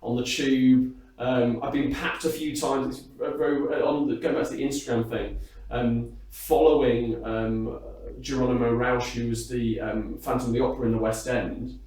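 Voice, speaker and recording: A man's voice: medium pitch, steady tone, moderate pace, darkish tone. Echoey, distant British man chatting. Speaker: interviewed singer and entertainer. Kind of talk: casual backstage interview. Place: backstage at a music hall. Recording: echoey room, distant microphone.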